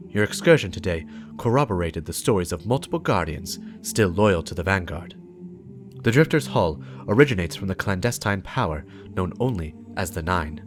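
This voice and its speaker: Low, solemn man reading as a warlock investigator. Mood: grave, urgent. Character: grave, suspicious warlock investigator briefing a guardian. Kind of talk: dramatic reading of game lore. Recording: music behind the voice. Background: game soundtrack music.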